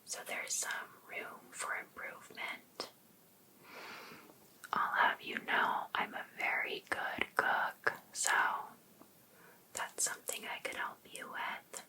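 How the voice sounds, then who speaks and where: A woman playing an overbearing, obsessive female life coach in an ASMR roleplay, softly asking attentive questions with sweet encouragement. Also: lightly acted. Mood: attentive, encouraging. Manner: soft, unhurried, attentive questioning. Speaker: ASMR performer.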